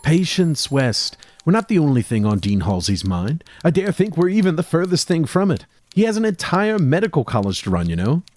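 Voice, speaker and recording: An actor playing an earnest, cautious young medical student in a horror audio drama, speaking politely and formally. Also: male voice, on the high side, big pitch swings, brisk, hardly any pauses, darkish tone, no echo. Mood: calm, cautious.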